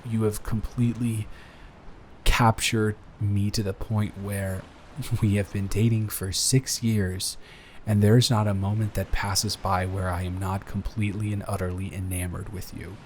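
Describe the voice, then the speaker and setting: A man playing a sweet, nervous boyfriend in an improvised intimate roleplay audio, speaking in a halting, earnest, tender way. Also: on the low side, darkish tone, slight background noise, close to an everyday voice. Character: sweet, smitten, nervous boyfriend proposing to partner.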